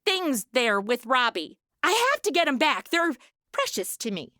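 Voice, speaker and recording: An actress playing a brash, sarcastic young modern influencer with exaggerated slangy delivery in a comedy radio drama. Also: female voice, sits high, big pitch swings, measured pace. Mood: flippant.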